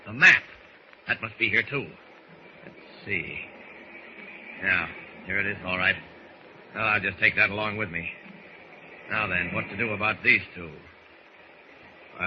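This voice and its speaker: A man's voice: fairly low pitch, normal speed, long pauses, close to an everyday voice. A man playing a confident, decisive superhero who talks through his actions aloud, in old radio serial style. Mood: brisk, musing. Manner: narrates own actions aloud. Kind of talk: 1940s radio serial acting. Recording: noisy, dull old radio recording.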